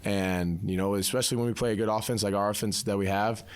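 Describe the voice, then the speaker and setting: A man's voice: fairly low pitch, steady tone, quick pace, smooth. A young man, a college football player, answering casually in a post-practice interview, repeating himself with many "you knows". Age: young adult.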